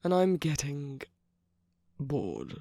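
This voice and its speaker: A man acts out a jaded, teasing villain's minion in a romantic ASMR roleplay. He drawls at an unhurried pace, breathy and husky with a gravelly fry, often slipping into whispers. The recording is close and dry. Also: on the high side, wildly swooping pitch, dark tone, no room sound, full character voice. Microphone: close microphone, dry sound.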